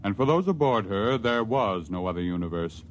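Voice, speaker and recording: A grave male storyteller played by an actor narrates vintage radio science fiction in a low voice. Faint noise runs under the old recording. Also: expressive, normal speed, close to an everyday voice. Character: grave, omniscient male storyteller of a space tale. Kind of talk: 1950s radio drama narration.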